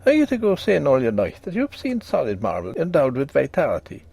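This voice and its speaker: A man's voice: medium pitch, wildly swooping pitch, brisk, creaky, darkish tone, breathy edge, exaggerated voice. A man voicing a blunt, hearty young country doctor with an Irish accent, brusque and teasing. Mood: bluff.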